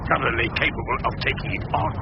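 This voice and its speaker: An actor playing a shrewd, sardonic older defence barrister in a radio drama, speaking with dry, sly courtesy. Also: male voice, mid-range voice, steady tone, racing, vocal fry, close to an everyday voice. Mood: sly, persuasive. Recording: dull, noisy lo-fi radio recording.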